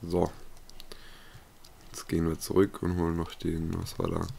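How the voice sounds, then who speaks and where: A man's voice: deep voice, monotone, moderate pace, creaky, dark tone, slight background noise. A young man commentating a survival game, swearing often and yelping when startled, slightly ill and coughing. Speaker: let's play gamer. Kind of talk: casual gameplay commentary.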